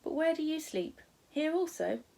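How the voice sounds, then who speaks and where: A woman's voice: high-pitched, lively intonation, moderate pace, vocal fry, dark tone, distant mic, voice pushed up. A woman voicing an eager but anxious young girl from a storybook, sceptical and plain-spoken in manner. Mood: curious, polite.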